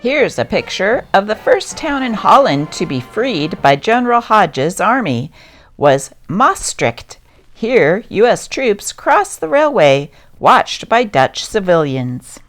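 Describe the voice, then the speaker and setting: A woman's voice: mid-range voice, big pitch swings, slow pace. A woman hosting and reading old newspaper articles aloud as scripted narration. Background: music.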